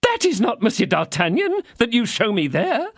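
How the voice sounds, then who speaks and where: A man voicing a frightened, fawning tradesman who pleads in a shrill, flustered voice. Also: huge pitch swings, normal speed, no room sound, clean studio sound, exaggerated voice. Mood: insistent. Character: frightened fawning middle-aged male mercer.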